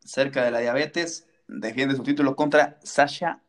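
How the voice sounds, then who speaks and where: A man's voice: mid-range voice, a little slow. A man co-hosting a casual wrestling chat, playful and teasing, fond of rhetorical questions. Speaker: wrestling podcast co-host.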